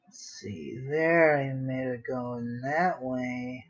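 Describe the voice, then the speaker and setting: A man's voice: medium pitch, slow, lo-fi sound. A man chatting casually on a drawing livestream, rambling to himself in a playfully dramatic way. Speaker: streamer, digital artist.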